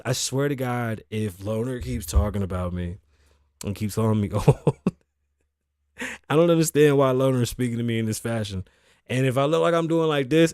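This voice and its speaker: Hoarse man hosting a casual show. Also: medium pitch, darkish tone, no echo, studio quality. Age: 30s. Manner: loose, profane and joking, with asides to the crew. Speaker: host. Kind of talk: casual podcast monologue. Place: show set in Las Vegas.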